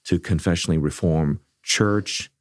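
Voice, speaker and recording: A middle-aged man born in South Korea, leading a seminary, speaking in an earnest, measured way with frequent restarts. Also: low voice, no room sound, clean studio sound. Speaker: seminary leader. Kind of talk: conversational interview.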